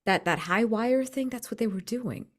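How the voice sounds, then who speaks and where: A woman playing a gentle, earnest young man in a tabletop game, hesitant and thoughtful, nervously polite. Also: a little high, lively intonation, normal speed, pitched above the performer's own voice. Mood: wary, resolved. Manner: hesitant, thoughtful, with false starts. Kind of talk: in-character tabletop roleplay.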